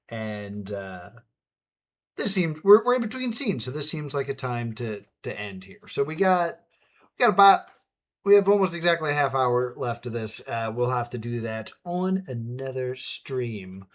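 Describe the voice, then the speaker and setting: A man hosting a stream with casual commentary, halting as he thinks aloud. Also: mid-range voice, big pitch swings, a bit bright. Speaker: streamer, host.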